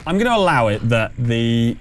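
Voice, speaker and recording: A man running a tabletop game as game master, narrating and refereeing conversationally, pausing as he thinks aloud. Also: medium pitch, very animated, soft background music.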